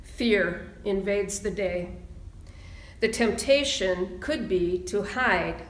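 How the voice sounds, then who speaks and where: A woman minister speaking in a measured, deliberate sermon style, pausing for emphasis as she reads and preaches. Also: mid-range voice. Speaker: minister leading a church service. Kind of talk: sermon and slow, solemn readings. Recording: roomy, echoing sound.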